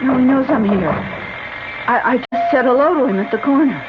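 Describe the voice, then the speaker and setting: An actress playing a frightened, protective wife in a radio drama, speaking hesitantly and anxiously. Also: female voice, sits high, moderate pace, over loud music, lightly acted. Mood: nervous. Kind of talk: old-time radio drama acting. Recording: dull, noisy old radio broadcast recording.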